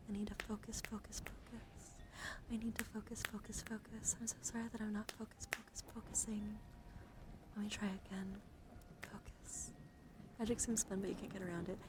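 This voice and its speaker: Whispery woman streaming ASMR, creaky voice. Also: mid-range voice, noisy background. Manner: soft, breathy, often drops into whispers. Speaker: livestream host. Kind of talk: ASMR livestream, whispering and soft talk to chat.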